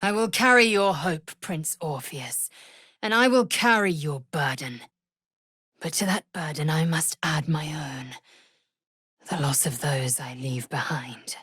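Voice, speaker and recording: A proud, zealous young warrior woman from a fantasy video game. Her voice is low and very husky, and she speaks in slow, clipped, formal phrases with imperious disdain. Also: a bit bright, no echo, clean studio sound, exaggerated voice. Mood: solemn resolve. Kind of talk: video game character voice acting.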